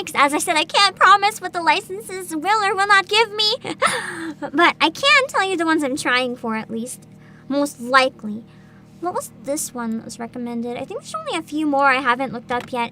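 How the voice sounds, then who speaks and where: A woman chatting casually to viewers as a livestream host, with a giggly, expressive manner full of interjections. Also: high-pitched, a little hiss or hum.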